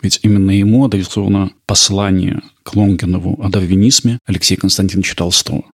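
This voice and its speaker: A male podcast host giving measured, scripted narration about history. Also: deep voice, flat delivery, gravelly, husky.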